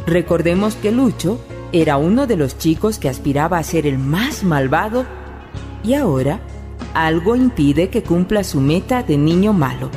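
A woman playing a knowing, ironic storyteller, narrating a radio drama with wry dramatic pauses. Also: fairly low pitch, expressive, darkish tone, soft background music, close to an everyday voice. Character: knowing, ironic female storyteller addressing listeners.

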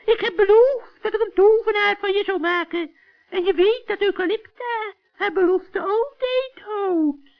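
Man putting on a scheming old witch woman, really shrill high voice, wheedling, netherlands dutch, muffled old radio play. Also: measured pace. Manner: cackling, wheedling, falsely sweet, stammering when flustered. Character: sly, scheming old female forest witch. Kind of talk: 1960s children's radio play acting. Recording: dull old radio recording.

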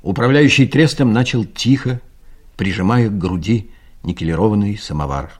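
A man's voice: fairly low pitch, normal speed. A male narrator telling a satirical story in a dry, ironic manner, as in a scripted audio play.

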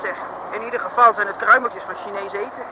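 A woman's voice: mid-range voice, fairly even intonation, fast talker, natural voice. An actress playing a cheeky young female sidekick to a detective, chirpy, teasing and coaxing, in a vintage comic radio serial. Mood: inquisitive. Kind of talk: 1950s-60s comic radio serial acting. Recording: hissy old radio recording.

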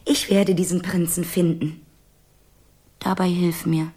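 An actress playing a stubborn, romantic young princess in a radio play, determined and commanding. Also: female voice, lowish voice, level tone, measured pace, dark tone, breathy edge. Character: headstrong fifteen-year-old princess, stubborn and romantic. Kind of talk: German radio play acting.

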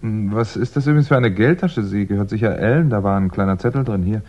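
A hunted male crime novelist played by a male actor in a vintage German radio thriller. The voice is low and dark with a slightly husky grain, measured and wry. The recording is dull, like old mid-sixties radio. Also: a little hiss or hum, natural voice. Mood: concerned. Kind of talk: 1960s German radio crime play acting.

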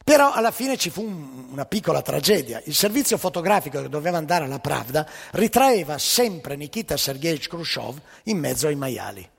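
Male stage storyteller, satirical monologue, raised pitch, husky, dramatic pauses, long hall reverb. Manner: dramatic pauses and emphatic delivery. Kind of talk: theatrical satirical monologue with anecdotes.

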